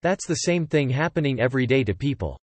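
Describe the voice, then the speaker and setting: A man's voice: mid-range voice, lively intonation, quick pace, dry recording. A man narrating an English lesson, reading example sentences and definitions aloud and repeating each one evenly.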